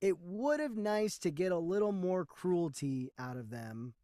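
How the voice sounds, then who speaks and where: A man's voice: high voice, animated, unhurried, mellow, no room sound, studio quality. A man co-hosting a movie review podcast, chatting casually with a wry, questioning manner. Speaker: podcast co-host.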